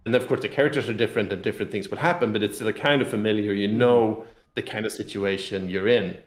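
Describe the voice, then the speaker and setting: Male game publisher guest, low voice, rambling explanatory chat, roomy echoing sound. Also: fast talker. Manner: rambling, explanatory, hedging with "kind of". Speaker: game publisher representative being interviewed. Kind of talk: casual interview chat about a tabletop game.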